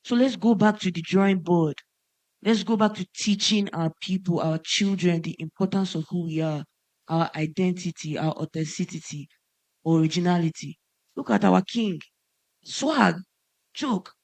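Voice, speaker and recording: A woman's voice: fairly low pitch, moderate pace, a little mellow, no room sound. A woman from Nigeria, a musician on a conference panel, speaking passionately and with emphasis. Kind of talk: panel discussion remarks. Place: conference stage.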